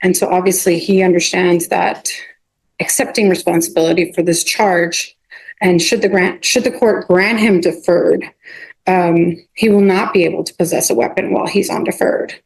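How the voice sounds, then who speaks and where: A woman speaking as a defense attorney in a court hearing, halting and often repeating or restarting her phrases. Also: fairly low pitch, fairly even intonation, gravelly, slightly rough, poor audio. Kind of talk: addressing a judge in a court hearing.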